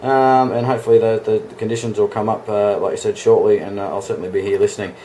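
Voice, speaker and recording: An Australian man with a fairly low voice talks as an amateur radio operator. His delivery is casual and matey, like a laid-back two-way chat. A little noise sits behind his voice. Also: fairly even intonation, fast talker, warm tone, poor audio.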